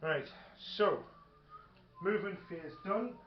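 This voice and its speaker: A man's voice: high-pitched, slow pace, soft background music. Man thinking aloud in a roomy, muffled recording. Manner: hesitant, thinking aloud while checking rules. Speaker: tabletop wargame player. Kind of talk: casual game chat, partly reading rules aloud. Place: indoor room during a filmed tabletop game. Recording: roomy, dull, faint background noise. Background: faint noise, radio playing.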